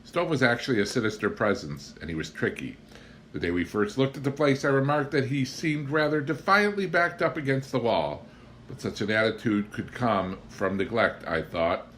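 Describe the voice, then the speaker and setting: A man reading a humorous memoir aloud as an expressive storyteller, with wry, theatrical, deliberate phrasing. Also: medium pitch, slight background noise. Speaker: audiobook reader.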